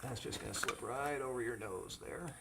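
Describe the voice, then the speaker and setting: A man's voice: mid-range voice, normal speed, creaky, noisy background. A man working as an EMT, talking a child patient through each step simply and reassuringly. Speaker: EMT with a rescue squad.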